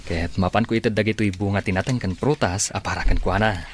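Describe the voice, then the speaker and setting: A man acting an earnest, shy suitor with a husky voice in a radio drama. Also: fairly low pitch, quick pace, a little hiss or hum, lightly acted. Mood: hopeful.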